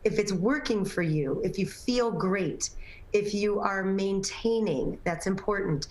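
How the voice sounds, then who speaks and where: A woman's voice: mid-range voice, measured pace, a little mellow, reverberant. A woman guest on a morning TV talk show, a personal trainer and nutrition coach, talking casually with many fillers, her voice a little scratchy. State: slightly scratchy voice. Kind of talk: morning TV interview.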